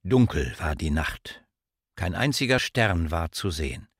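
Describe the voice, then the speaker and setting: Solemn storyteller, deep voice, dramatic slow narration, dry close sound. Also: male voice, very animated, vocal fry, fairly bright tone, no room sound, full character voice. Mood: solemn, atmospheric. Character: solemn storyteller of a dark winter night tale.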